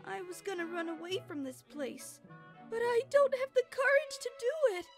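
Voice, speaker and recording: Casting: woman playing nervous little cabin boy, squeaky high, swooping pitch, servile anime dub read over music. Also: sing-song, moderate pace, heavily acted. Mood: timid, anxious. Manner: stammering, nervous, apologetic. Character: timid, servile young boy pirate chore boy. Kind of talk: anime-style manga dub acting. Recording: music behind the voice.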